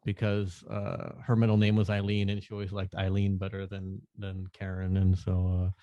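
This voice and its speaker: A man talking as a podcast guest in casual chat, relaxed, laughing often and restarting sentences. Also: deep voice, normal speed, no echo, muffled recording. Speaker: podcast guest, NFT artist.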